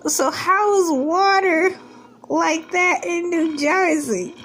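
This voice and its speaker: A woman's voice: very high voice, takes it slowly, fairly bright tone. A woman hosting a casual, comedic commentary on a news article, with incredulous, joking and rambling asides. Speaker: video host. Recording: music bed under the voice. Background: background music.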